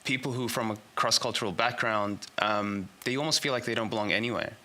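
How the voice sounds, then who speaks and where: A man who grew up between England and Saudi Arabia, answering questions in an interview. His voice sits fairly low with a very bright, tense edge. He talks haltingly and restarts his sentences often. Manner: halting, full of restarts and fillers. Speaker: business strategist.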